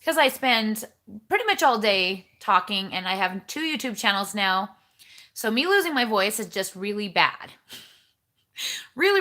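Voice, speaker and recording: A chatty woman hosts a casual livestream from her home and greets viewers one by one. Her voice is bright and forceful, at a middle pitch, and she rambles in a friendly, bubbly way. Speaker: livestream host. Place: home kitchen.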